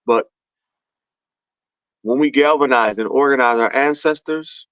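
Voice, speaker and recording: A man's voice: mid-range voice, unhurried, a bit bright, clean tone, dry recording, highs cut off. A man talking with a fervent, preaching cadence in an impassioned conversation.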